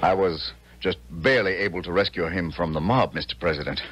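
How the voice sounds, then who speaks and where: Man acting deep-voiced courtly colonel. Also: brisk, lo-fi sound, close to an everyday voice. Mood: grave. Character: courtly, proud Confederate colonel, calm authority. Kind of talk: 1970s radio drama acting.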